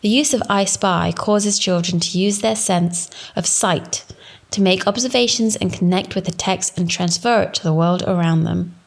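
A woman in her thirties from England, giving a scripted, formal narration for a teaching presentation in a measured way. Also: lowish voice, creaky. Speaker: education student presenting.

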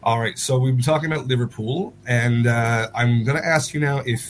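A man's voice: medium pitch, fairly even intonation, brisk. Male podcast host with background noise. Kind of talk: casual interview and quiz hosting. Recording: clear background noise, room ambience.

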